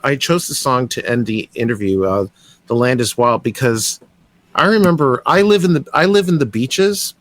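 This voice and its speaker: A man's voice: medium pitch, animated, moderate pace, a bit bright, no room sound. A young Canadian man hosting a podcast, eager and rambling, with lots of restarts as he talks. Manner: enthusiastic, rambling, many restarts. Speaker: podcast host. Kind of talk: casual interview chat.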